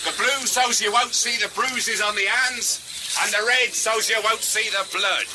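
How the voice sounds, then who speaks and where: An actor playing a plain-spoken English army corporal who morris dances, in a radio play. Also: male voice, sits high, steady tone, unhurried, noisy background. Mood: cheerful announcing.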